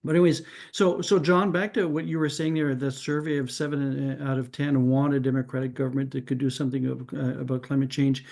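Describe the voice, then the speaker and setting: Man, mellow low voice. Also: little pitch movement, fairly quick, vocal fry, few pauses. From Canada. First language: French. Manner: thinking aloud, frequent restarts, ends points with "right?". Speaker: discussion participant. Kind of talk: casual group discussion.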